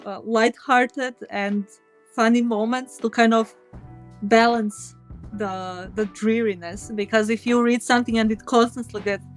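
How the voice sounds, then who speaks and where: A woman speaking as an indie game developer in a casual interview, explaining things in halting phrases. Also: fairly high pitch, fairly even intonation, soft background music.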